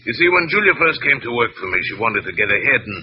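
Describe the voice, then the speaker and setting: A man's voice: mid-range voice, sing-song, quick pace, natural voice. An actor playing a huge, demanding, worried businessman in an old radio drama, speaking in an imperious, irritable, formal way. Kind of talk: 1940s-50s American radio drama acting. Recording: dull, noisy vintage radio recording.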